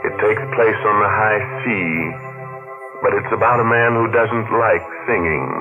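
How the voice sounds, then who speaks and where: A man playing a ghoulish, sardonic radio horror host with morbid humour and a mock-genial, drawn-out delivery in 1940s style. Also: fairly low pitch, lightly acted. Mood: gleefully macabre. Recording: muffled 1940s radio broadcast with music.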